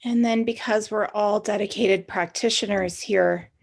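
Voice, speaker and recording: A woman's voice: mid-range voice, steady tone, measured pace, creaky, husky. A woman leading a guided meditation in an informal, conversational and inviting way, as the guide of the session.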